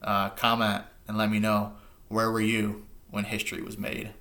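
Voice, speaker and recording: A man's voice: on the low side, monotone, normal speed, bright, forceful tone, slight background noise. An American man in his thirties reminiscing casually, in a sincere, nostalgic way. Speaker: host sharing a personal memory. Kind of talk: casual reminiscing monologue to camera.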